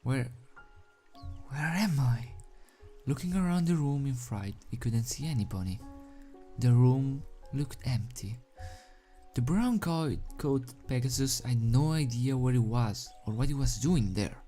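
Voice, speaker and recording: A man's voice: medium pitch, animated, measured pace, mellow, soft background music. A man reading a story aloud as an amateur narrator, dramatic in delivery and sometimes stumbling over the script. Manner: stumbles over words and rereads phrases. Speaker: amateur fan fiction reader.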